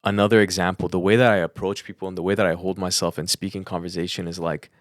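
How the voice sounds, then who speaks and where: Fast-talking man with a lowish voice. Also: little pitch movement, no echo, clean studio sound. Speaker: podcast co-host. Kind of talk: casual advice-giving conversation.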